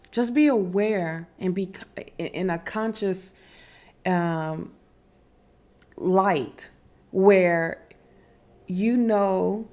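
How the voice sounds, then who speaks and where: Slow-talking woman giving spiritual advice. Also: mid-range voice, gravelly. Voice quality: strong, loud voice. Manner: unhurried, repetitive, emphatic, with fillers and restarts. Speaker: spiritual wellness host. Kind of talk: casual spiritual advice talk.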